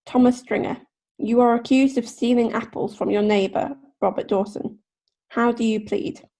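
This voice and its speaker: An actress playing a witty court clerk in a radio play, with a dry, punning formal delivery. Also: female voice, fairly high pitch, fairly even intonation, moderate pace, warm tone, close to an everyday voice. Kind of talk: radio drama acting.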